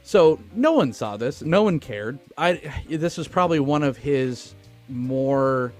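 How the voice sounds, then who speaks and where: A man's voice: medium pitch, expressive, takes it slowly, faint music behind. A man co-hosting a film podcast in casual, opinionated, profane conversation.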